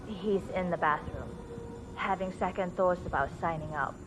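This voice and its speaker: An actress playing a clinical, matter-of-fact lab assistant giving formal status reports in a video game. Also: female voice, on the low side, moderate pace, noisy background, close to an everyday voice.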